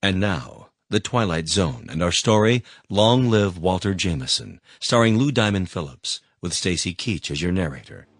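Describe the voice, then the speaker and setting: Guy announcing a radio drama, really deep voice, dry recording, reading a script. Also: lively intonation, normal speed, few pauses, dry recording.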